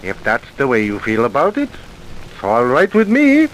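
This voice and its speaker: A man's voice: medium pitch, huge pitch swings, moderate pace, rough recording. A man playing an evasive, colloquial truck driver turned informer in an old radio drama. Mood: coaxing, hushed. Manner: colloquial, evasive, working-class plainness. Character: wary, wheedling male dirt-truck driver turned informer. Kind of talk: vintage radio crime drama acting. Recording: hissy old radio recording.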